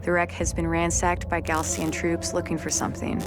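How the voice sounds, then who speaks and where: A woman playing an earnest science officer in a game cutscene, narrating in a serious, measured, articulate way. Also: lowish voice, monotone, lightly acted. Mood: grave. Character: earnest female chief science officer on desert expedition. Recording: loud cinematic music behind. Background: loud music.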